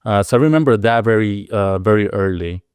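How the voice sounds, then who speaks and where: A man's voice: on the low side, a little fast, darkish tone, clean tone, dry recording, studio quality. A man in his thirties from the Arizona–Mexico border, a music producer telling stories from his childhood in an easygoing, reflective way with plenty of fillers. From Arizona-Mexico border, Sonoran Desert. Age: 30s. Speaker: podcast guest, music producer and DJ. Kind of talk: casual interview chat.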